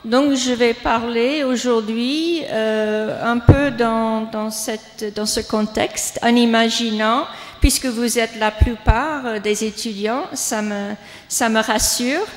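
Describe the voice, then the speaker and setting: A woman's voice: on the high side, takes it slowly. Older woman, hesitant, echoing hall. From United States. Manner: hesitant, many fillers and restarts. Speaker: guest speaker, professor. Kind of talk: academic lecture. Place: lecture hall. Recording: long reverb of a hall.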